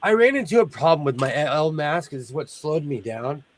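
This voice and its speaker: A man with a fairly high voice rambles through a relaxed sewing-room chat. He is playful and self-mocking, and he often breaks off a thought before he finishes it. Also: moderate pace. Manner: rambling, jokey, trailing off mid-sentence. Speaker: sewing show host. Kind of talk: casual chat while sewing.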